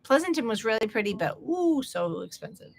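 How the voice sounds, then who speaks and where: A woman's voice: a little high, big pitch swings, fairly quick, a little hiss or hum. A middle-aged American woman hosting a casual livestream chat, chatty and rambling, laughing often between asides. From United States. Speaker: livestream host.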